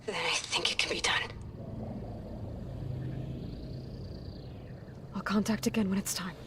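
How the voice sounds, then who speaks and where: A woman's voice: mid-range voice, fairly even intonation, fast talker, background noise, natural voice. A woman playing a determined, guarded young warrior who leads through grief in a video game cutscene, earnest and firm. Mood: hushed, tender. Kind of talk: cinematic video game acting.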